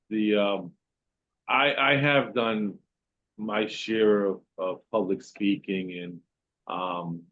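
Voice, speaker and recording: Man, lowish pitch, loud voice, hesitant fillers, muffled video call sound. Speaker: workshop participant. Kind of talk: conversational question in online meeting.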